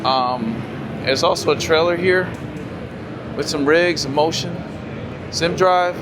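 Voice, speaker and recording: A man's voice: on the high side, slow. Enthusiastic man with a worn-out voice. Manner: chatty, enthusiastic, many fillers. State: losing his voice. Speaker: vlogger touring an expo. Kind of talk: casual walking tour commentary. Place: indoor expo hall floor. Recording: loud background noise.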